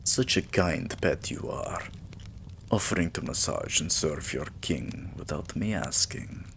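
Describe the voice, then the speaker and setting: A man playing a regal, possessive, doting vampire king in an intimate ASMR roleplay, with lordly, drawn-out phrasing and murmurs. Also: low-pitched, expressive, creaky, fairly bright tone, slight background noise, heavily acted. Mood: fond, commanding.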